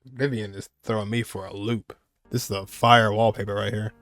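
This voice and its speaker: A man's voice: lowish voice, flat delivery, moderate pace, fairly bright tone, soft background music. A man giving casual live commentary on game cutscenes, in slangy short exclamations. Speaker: game streamer reacting to cutscenes.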